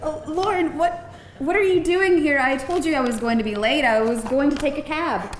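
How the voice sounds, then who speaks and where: A woman's voice: high voice, moderate pace, lightly acted. A woman playing a cool, skeptical, scornful job candidate with a dry, pointed manner at a live table read. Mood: flustered, indignant. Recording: roomy live event, faint noise.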